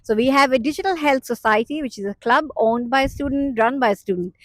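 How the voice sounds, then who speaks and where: A woman's voice: high-pitched, fast talker, no room sound. A woman from university staff, talking in an upbeat, welcoming way as she promotes a study program. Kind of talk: enthusiastic promotional talk.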